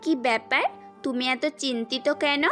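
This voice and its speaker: A woman's voice: high voice, steady tone, normal speed, bright, forceful tone. A woman playing a devoted, anxious young fiancée in a romantic audio drama, tender and questioning. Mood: questioning, worried. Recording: music bed under the voice.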